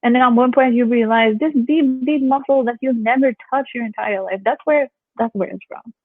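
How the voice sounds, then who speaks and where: Young woman from canada, yoga instructor, relaxing voice, fairly high pitch, dry sound. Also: brisk, no echo. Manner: chatty, laughs often, acts out what others say. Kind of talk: casual interview chat. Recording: phone-like call audio.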